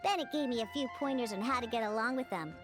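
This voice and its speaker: A woman's voice: on the high side, fast, over music, natural voice. A woman voicing a young female tavern bartender in a video game, casual, dry and mischievous. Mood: casual explaining.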